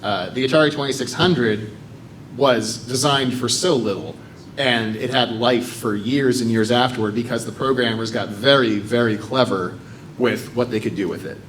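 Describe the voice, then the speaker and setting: Panel regular: American man, mid-pitched, chatty explainer. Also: moderate pace, fairly bright tone, a little hiss or hum, low-quality recording. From United States. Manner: talkative, explanatory, with fillers. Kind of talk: convention panel talk.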